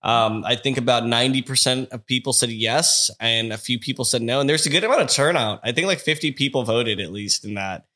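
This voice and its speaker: A man's voice: medium pitch, fairly quick, hardly any pauses, sharp tone. A man co-hosting a casual podcast, rambling with lots of fillers, his voice stuffy and congested. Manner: rambling, filler-heavy, upbeat. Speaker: podcast co-host.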